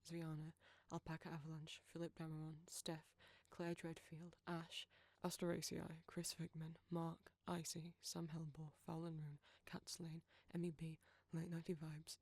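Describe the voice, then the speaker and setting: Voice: mid-range voice, darkish tone, dry recording. A British audio roleplay creator reading out a scripted outro of thanks and credits.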